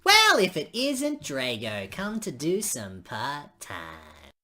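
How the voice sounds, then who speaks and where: A man's voice: a little high, huge pitch swings, takes it slowly, pushed and bright, full character voice. A man voicing a smug, mocking teenage school rival in a visual novel read-aloud. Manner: mocking, cocky.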